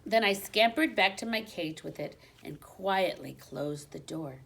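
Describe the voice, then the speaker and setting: A woman reading a children's storybook aloud in an expressive, dramatic manner, dropping to a hush for suspense. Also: on the low side, a little slow, creaky. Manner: dramatic, with hushed suspenseful drops.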